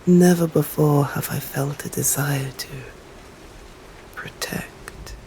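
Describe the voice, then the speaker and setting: A woman with an English accent voicing an ancient, stern female vampire in an ASMR roleplay, speaking formally in slow, hushed whispers. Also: sits low, steady tone, slight background noise, low-quality recording. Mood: coy.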